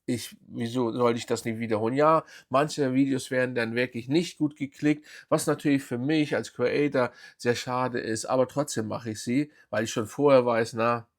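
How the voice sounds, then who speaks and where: Gravelly-voiced German football vlogger. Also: male voice, mid-range voice, steady tone, moderate pace, few pauses. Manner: rambling, many fillers and restarts. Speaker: football channel host. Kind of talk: casual fan commentary vlog.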